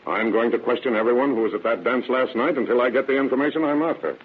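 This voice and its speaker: An actor playing a confident, dogged sheriff in an old radio drama, firm and matter-of-fact. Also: male voice, medium pitch, quick pace, background noise, close to an everyday voice. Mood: confident, brisk. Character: confident, dogged male sheriff, brisk and authoritative. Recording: tinny narrow-band vintage radio recording.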